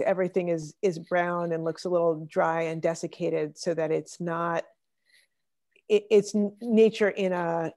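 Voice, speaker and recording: A woman's voice: lowish voice, creaky, darkish tone. A woman leading an online art discussion, calling on participants and reflecting on their comments over a video call. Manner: thoughtful, calls on participants by name. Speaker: discussion leader.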